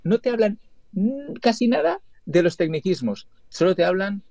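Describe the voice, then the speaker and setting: A man's voice: high voice, sing-song, moderate pace, creaky, mellow, no echo. A man from Spain, a guest talking casually about his editing work, rambling with restarts and tag questions. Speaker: guest, video editor and colorist. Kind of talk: casual interview talk.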